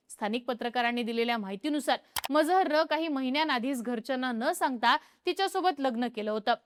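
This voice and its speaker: A woman with a Marathi accent narrating a scripted news report in a steady, measured tone. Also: high voice. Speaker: news presenter.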